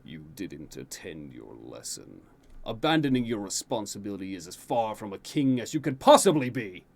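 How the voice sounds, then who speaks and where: A man doing a deep, slow, furious old king. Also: very animated, creaky, heavily acted. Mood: cold fury. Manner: booming, slow, cold. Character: stern deposed-prince-hating king father, older man.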